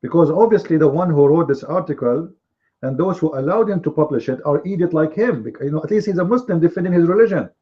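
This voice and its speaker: A man whose first language is Arabic, hosting a live online commentary show, with emphatic, repetitive speech and drawn-out stresses. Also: on the high side, expressive, highs cut off.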